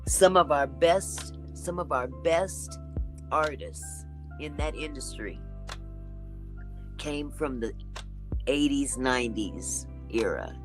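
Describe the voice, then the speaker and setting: A woman with a deep, raspy voice talking in a relaxed, chatty way as a guest in a casual conversation. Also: takes it slowly, pauses often, over music, rough recording. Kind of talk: casual chat.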